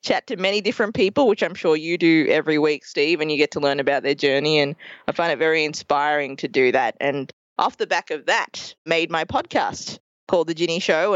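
A woman's voice: low-pitched, a little fast, dry recording. Bubbly Australian woman, dry lo-fi sound. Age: 30s. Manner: bubbly, laughs often. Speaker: guest, podcast producer. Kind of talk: casual interview chat.

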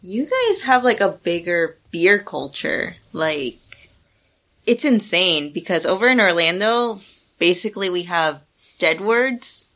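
A woman's voice: lowish voice, lively intonation, a little slow, a bit bright. A woman guest on a podcast, chatting casually with lots of filler words. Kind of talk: casual chat.

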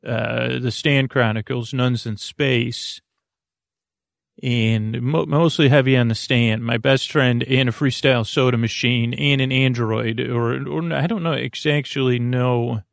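A man with a creaky voice hosting a bedtime podcast, talking in a soothing, meandering, halting way and often breaking off mid-thought. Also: medium pitch, steady tone, no room sound, studio quality. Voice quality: creaky, gravelly. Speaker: podcast host. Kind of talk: rambling bedtime podcast monologue.

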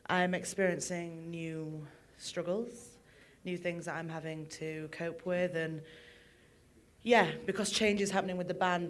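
A woman, a musician, talking openly in an interview, moving between soft, quiet moments and loud, animated ones. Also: lowish voice. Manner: open, expressive, swings from soft to loud. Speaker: musician guest. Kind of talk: candid interview conversation.

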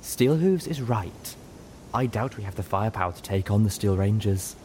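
A man voicing a refined female unicorn with a prim, measured and cutting way of talking, in an audiobook. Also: lowish voice, animated, a little mellow, a little hiss or hum, lightly acted. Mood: calm. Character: refined female unicorn, sharp-tongued then kind.

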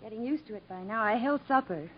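An actress playing a devoted, worried young country wife, earnest and emotional, in a 1950s radio drama. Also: female voice, fairly high pitch, lively intonation, quick pace, slightly rough. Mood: affectionate. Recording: muffled 1950s radio broadcast recording.

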